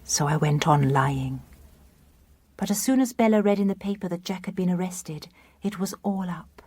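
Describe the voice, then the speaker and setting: An actress playing a weary, remorseful young woman reading out her confession in a radio drama, soft and breathy. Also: on the low side, normal speed, no room sound.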